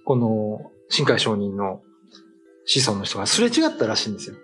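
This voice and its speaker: A man's voice: lowish voice, huge pitch swings, moderate pace, fairly bright tone. A middle-aged man telling stories in casual conversation, often relaying what other people said. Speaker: writer and show regular telling ghost stories. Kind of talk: casual storytelling chat. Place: small meeting room, indoors. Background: faint music.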